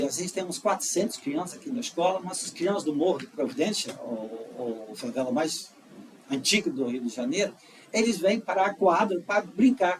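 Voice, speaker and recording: A middle-aged man with a Dutch accent, a guest telling his life story in a casual, halting way, full of restarts and fillers. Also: sits high. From Netherlands. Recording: slightly distant mic with faint noise.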